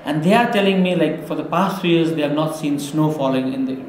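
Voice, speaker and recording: A man's voice: on the high side, fairly quick, a little hiss or hum. A man from Nepal, speaking earnestly as a government delegate in an interview, often restarting words. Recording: echoey room.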